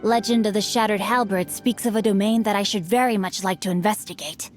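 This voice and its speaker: A woman's voice: mid-range voice, fairly even intonation, fairly quick, faint music behind, close to an everyday voice. A woman voicing a refined, bookish, slyly teasing noble teenage boy in a video game, with ornate, courtly phrasing. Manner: ornate, courtly, archaic wording. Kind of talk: English video game voice acting.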